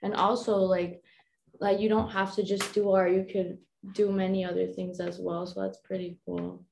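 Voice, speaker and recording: A woman co-hosting a podcast interview, talking in a flat, easygoing way. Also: mid-range voice, normal speed, gravelly, a little hiss or hum. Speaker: podcast co-host. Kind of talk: casual interview chat. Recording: roomy echo.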